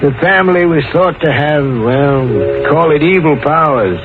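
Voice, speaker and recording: An actor playing a stern but shaken county sheriff with emphatic, halting pauses in a 1940s radio drama. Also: male voice, fairly high pitch, close to an everyday voice. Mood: stern, probing. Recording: muffled old radio recording, music behind.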